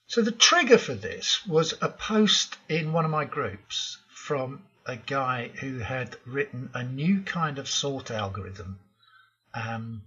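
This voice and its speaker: A man's voice: mid-range voice, sharp tone, husky, dull audio. An older man hosting a programming tutorial, talking through slides in a frank, opinionated way with frequent ums. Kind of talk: narrated slide lesson.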